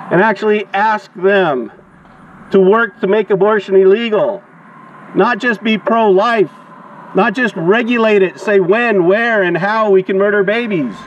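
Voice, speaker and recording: A man doing open-air protest preaching through a megaphone, exhorting and declamatory like a street preacher. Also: high voice. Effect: megaphone amplification. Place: outdoors on grass beside a sidewalk and parking lot. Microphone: action camera beside the megaphone. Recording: dull outdoor recording with traffic noise.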